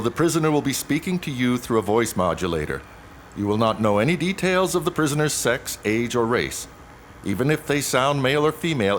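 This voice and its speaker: A man's voice: medium pitch, slight background noise, lightly acted. A man playing a stern police lieutenant in an audio drama, speaking in a clipped, official, procedural way.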